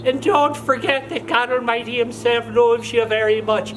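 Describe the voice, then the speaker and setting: A woman hosting a comic monologue, gossiping in a pious, scolding way and dropping low for asides. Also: fairly even intonation, normal speed, music in the background. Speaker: comedy show host.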